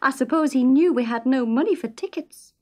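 A woman's voice: sits high, brisk, dark tone, voice pushed up. A woman voicing an anxious, nagging working-class mother in a storybook. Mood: worried.

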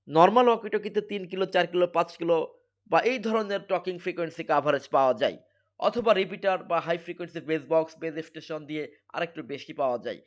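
A man with a Bangladeshi accent presents a product as its seller. His voice sits somewhat high for a man. He explains in an emphatic, repetitive way, driving each selling point home. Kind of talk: sales pitch, product demonstration.

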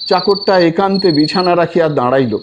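A man narrating a literary story in an expressive, theatrical manner with a husky voice. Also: a little high, brisk, noisy background. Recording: music bed behind the voice. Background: background music.

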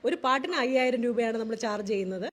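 A woman's voice: fairly high pitch, quick pace, slight background noise. A woman making a playful prank phone call. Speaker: prank caller.

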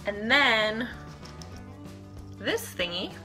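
A woman's voice: on the high side, sing-song, drawn-out words, bright, forceful tone, soft background music. A woman in her thirties talking informally to camera as a fitness vlogger, chatty and thinking aloud as she demonstrates things in a home kitchen. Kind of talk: casual chat to camera, product demonstration.

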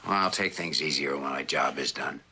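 An actor playing a driven, weary, idealistic scientist in a mid-century television drama, terse and authoritative. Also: male voice, rumbling low, brisk, pushed and bright, slight background noise, exaggerated voice. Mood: grave, earnest.

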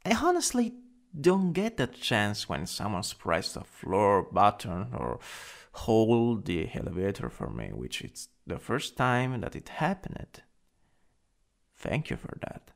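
A man acting an anxious, polite office worker in an ASMR boyfriend roleplay, halting and dramatic, with a breathy voice that often drops toward a whisper. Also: on the low side, huge pitch swings, full character voice. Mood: shy, grateful.

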